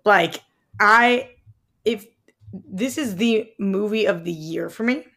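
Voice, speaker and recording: A woman's voice: medium pitch, slow, a bit bright. A woman co-hosting a podcast, chatting casually about films with an emphatic, enthusiastic fan's way of talking. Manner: emphatic, enthusiastic fan talk. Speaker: podcast co-host. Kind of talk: casual film discussion chat.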